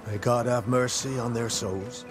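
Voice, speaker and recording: An actor playing a gruff, impatient male fort guard in a video game. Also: mid-range voice, steady tone, unhurried, over music, close to an everyday voice. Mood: concerned. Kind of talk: dramatic video game acting.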